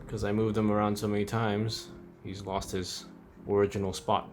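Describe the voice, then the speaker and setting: A man's voice: fairly low pitch, flat delivery, moderate pace. A man giving a casual, rambling review, thinking aloud in an informal, offhand way. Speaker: host of a toy figure review channel. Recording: music under the voice. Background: background music.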